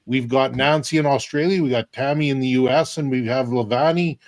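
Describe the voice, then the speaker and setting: A man's voice: mid-range voice, a little fast, dry recording. A middle-aged man from Canada hosting a casual group video call, rambling and restarting his sentences. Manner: rambling, repeats words and restarts. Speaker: host.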